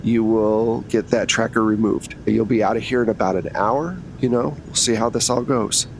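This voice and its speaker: A man's voice: fairly low pitch, steady tone, moderate pace, vocal fry. Man acting a businesslike female agent, noisy recording. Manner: businesslike, bargaining. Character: dealmaking female government agent, coolly in control.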